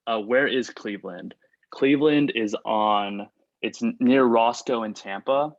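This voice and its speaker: A man's voice: mid-range voice, measured pace, dry recording. A young man whose first language is Chinese, a student answering questions in a casual chat, full of "like" and restarts. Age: young adult. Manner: chatty, many "like" fillers and restarts. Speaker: student panelist reading out questions. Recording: dull lo-fi dry recording.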